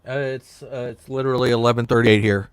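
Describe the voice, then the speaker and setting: A man's voice: mid-range voice, fairly even intonation, fast, clean tone, no echo. A man joining a casual stream chat, rambling and joking bluntly. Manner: rambling, blunt jokey banter.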